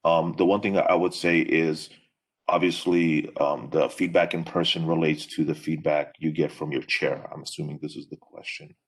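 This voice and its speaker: Very deep, husky-voiced man. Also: fairly even intonation. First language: not English. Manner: measured, with many fillers. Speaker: faculty panelist. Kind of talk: advice on a webinar panel.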